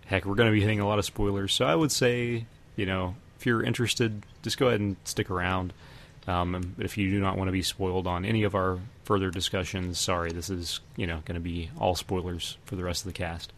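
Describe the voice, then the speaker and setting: A man hosting a podcast, casually discussing films and giving his opinions in a measured, hesitant way. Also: deep voice, little pitch movement, a little hiss or hum. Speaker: podcast host.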